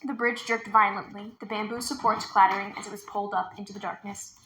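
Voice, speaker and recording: A woman's voice: medium pitch, fairly even intonation, fast, a bit bright, reverberant, slight background noise, rough recording. A woman reading a storybook aloud expressively as a storytime narrator. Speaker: storytime reader.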